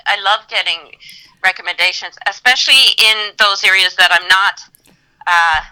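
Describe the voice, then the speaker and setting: A woman author answering interview questions in unhurried casual chat, with frequent fillers and amused asides. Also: mid-range voice, steady tone, bright, forceful tone, smooth, poor audio. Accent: Newfoundland. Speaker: guest author.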